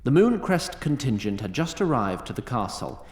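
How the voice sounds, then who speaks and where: A man's voice: medium pitch, big pitch swings, moderate pace. A man reading scripted fantasy narration with a wry, literary, storytelling manner. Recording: roomy sound, faint background noise.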